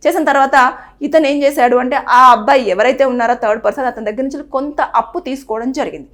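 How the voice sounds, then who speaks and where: Fast-talking high-voiced woman presenter. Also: a bit bright. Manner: earnest, advising, repeats phrases and acts out quoted speech. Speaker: news presenter. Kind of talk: explainer to camera on a legal topic.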